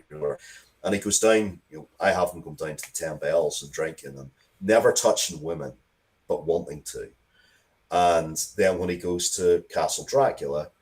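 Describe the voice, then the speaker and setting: A man with a low voice talks as an interview guest. He tells stories with emphasis and rambles a little, often breaking off and starting a sentence again. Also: a little mellow. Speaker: guest, writer of Dracula media. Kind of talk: animated interview talk, storytelling.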